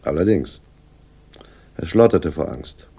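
A world-weary police inspector in a 1960s radio thriller, with a low, dark and husky voice. He speaks in a dry, deliberate way, superior and sardonic. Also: animated, exaggerated voice. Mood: mocking. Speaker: actor in a radio crime drama. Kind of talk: 1960s German radio play acting, dialogue.

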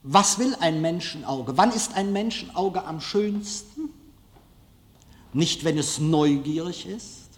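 Slow-speaking lecturer in an echoing hall. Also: male voice, fairly high pitch, lively intonation. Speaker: film director giving a lecture. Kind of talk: public lecture, deliberate and rhetorical. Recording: echoey hall, long reverb.

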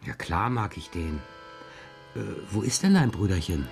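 A man's voice: low-pitched, very animated, moderate pace, darkish tone, soft background music. A man playing a playful father in a radio play, spinning absurd stories in a whimsical, improvising manner. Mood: dry, teasing.

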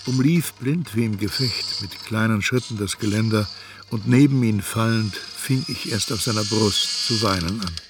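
An actor playing an introspective first-person narrator in a literary radio play. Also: male voice, lowish voice, moderate pace, noisy background, natural voice.

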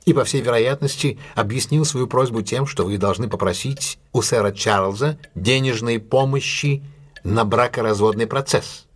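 An actor playing a confident, courteous male detective in an audio drama, speaking in a measured, deliberate and formally polite way. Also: mid-range voice, breathy edge, natural voice. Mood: calm, probing. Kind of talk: audio drama acting, classic detective story.

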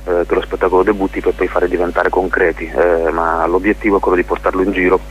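Deep-voiced man, thoughtful and halting, muffled recording. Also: little pitch movement. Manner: thoughtful, halting, searching for words. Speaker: interview guest, playwright. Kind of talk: reflective radio interview talk. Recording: muffled radio interview with hum and hiss.

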